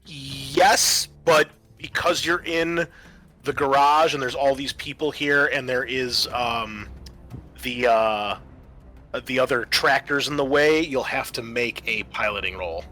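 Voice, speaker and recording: A male game master with a bright, forward voice talks players through a tabletop roleplaying game. His delivery is conversational, with music playing behind him. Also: medium pitch, takes it slowly.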